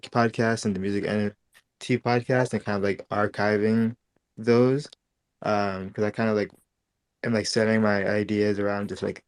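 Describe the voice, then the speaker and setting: A man's voice: lowish voice, clear, resonant tone, no room sound. A man speaking casually and hesitantly as he asks questions, often filling with "like". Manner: hesitant, frequent "like". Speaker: participant asking questions. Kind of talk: casual chat.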